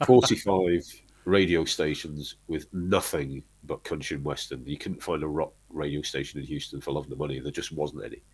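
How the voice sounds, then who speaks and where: A middle-aged man from Manchester, telling long rambling stories from his life in a relaxed chat, with lots of restarts. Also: extremely low pitch, normal speed, husky. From Manchester, England. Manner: rambling storyteller, restarts often, chuckles. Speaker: guest, band singer. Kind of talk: casual interview chat.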